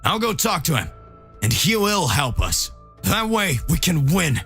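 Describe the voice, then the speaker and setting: A man voicing a cheerful, excitable young male warrior hero in an anime-style story. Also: on the high side, a little slow, creaky, soft background music, exaggerated voice. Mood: determined.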